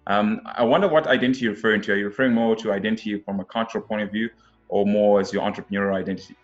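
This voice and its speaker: A man's voice: fairly low pitch, steady tone, very fast. A man hosting an interview who asks his questions enthusiastically, often with "uh" fillers and repeated words. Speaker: interview host. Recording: dull lo-fi audio with faint guitar music.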